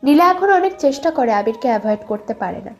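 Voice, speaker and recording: A woman's voice: high-pitched, expressive, quick pace, darkish tone. A young woman reading scripted narration for a dramatized love story. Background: background music.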